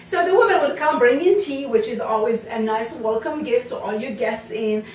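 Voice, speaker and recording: Moroccan-raised woman, dance teacher, chatty and rambling; slightly high voice, mic set back in an echoey room. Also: lively intonation, normal speed, a little hiss or hum. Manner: chatty, many fillers and asides. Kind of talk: casual talk to camera. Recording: roomy sound from a distant mic.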